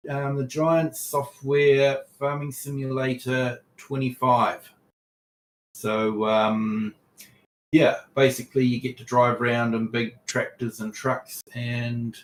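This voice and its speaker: A man's voice: medium pitch, reverberant, low-quality recording. A man casually chatting on a live game stream, reading chat aloud with lots of ums. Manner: unhurried, reads chat aloud, frequent ums.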